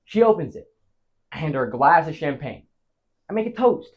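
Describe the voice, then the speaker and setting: A man acts out a rattled husband telling his tale in a comic stage play. His voice sits fairly high and swings widely in pitch. He stammers, stops for dramatic pauses and lurches between big emotions. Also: big pitch swings, muffled recording. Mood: fond, wistful. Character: flustered married man frantically recounting a disastrous anniversary night. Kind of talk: theatrical comic stage monologue.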